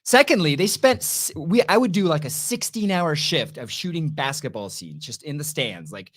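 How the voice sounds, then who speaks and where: A man co-hosts a casual TV review show with a very animated delivery. His pitch moves up and down a lot as he tells stories playfully, stressing points with exclamatory emphasis. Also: moderate pace. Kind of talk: casual banter and storytelling.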